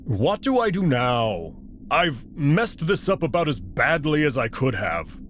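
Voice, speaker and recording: A man's voice: fairly high pitch, sing-song, a little slow, a bit bright, a little hiss or hum. A man voicing an anxious, regretful male alien wolf in a visual novel reading. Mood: worried.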